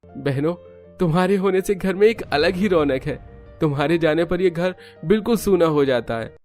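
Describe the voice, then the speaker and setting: Caring, burdened young brother played by a male narrator, emotional cartoon drama, higher than natural voice. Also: moderate pace, darkish tone, soft background music, lightly acted. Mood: affectionate.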